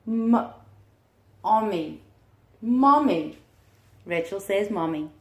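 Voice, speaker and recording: A woman teacher reading aloud to young children in an encouraging manner, with questions and deliberate pauses. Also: mid-range voice, animated. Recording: roomy, echoing room sound.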